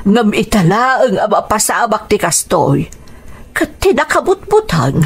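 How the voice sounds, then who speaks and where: A woman's voice: medium pitch, slightly rough, full character voice. A woman playing a worried mother in a radio drama, with a Filipino accent and plaintive, sighing delivery.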